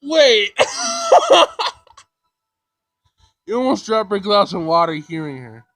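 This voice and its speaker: Male gaming host, fairly high-voiced, for informal visual novel narration with reactive asides. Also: wildly swooping pitch, slow, a bit bright, no echo. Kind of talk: casual visual novel read-aloud with commentary.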